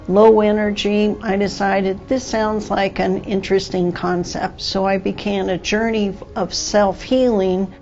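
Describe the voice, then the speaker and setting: A woman in her sixties, a doctor, calmly telling her own story in a TV interview. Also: medium pitch. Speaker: doctor, anesthesiologist. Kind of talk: TV interview, personal account. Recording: dull lo-fi TV audio with faint music.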